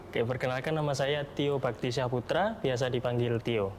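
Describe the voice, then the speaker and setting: A man in his twenties from Surabaya, casually introducing himself as an interview guest. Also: normal speed. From Surabaya, Indonesia. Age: 20s. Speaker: music teacher and violinist, guest. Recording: faint low rumble behind.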